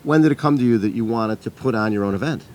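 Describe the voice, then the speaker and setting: A man's voice: medium pitch, quick pace, darkish tone. A man hosting an interview, upbeat, often interjecting with short replies. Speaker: interview host.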